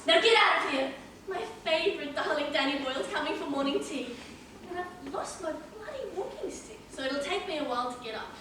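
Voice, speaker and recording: A woman's voice: high-pitched, expressive, a little fast. A young woman playing a cantankerous, scornful old woman in a stage comedy, scolding and dismissive. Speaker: stage actor in a student one-act play. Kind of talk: theatrical stage comedy acting. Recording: echoey hall stage from far, faint noise.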